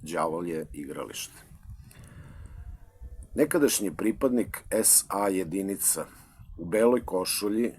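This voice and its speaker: Deep-voiced Serbian man reading poems. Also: measured pace, long pauses, breathy edge. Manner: hesitant with many uh fillers, then steady recitation. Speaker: school librarian and poet. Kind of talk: introducing and reading his own poems. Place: library.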